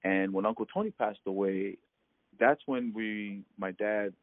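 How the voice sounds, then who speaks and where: A man from Hawaii and American Samoa, recalling his past in a halting, casual phone interview full of fillers. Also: on the low side, dry recording. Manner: halting, many fillers and restarts, laughs often. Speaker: interviewee, former ballplayer. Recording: tinny phone line with light noise.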